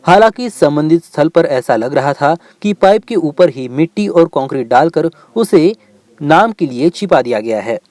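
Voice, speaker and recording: Man reading news with lively pitch swings. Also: moderate pace, dry recording. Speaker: news reporter doing voice-over. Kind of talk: scripted news narration.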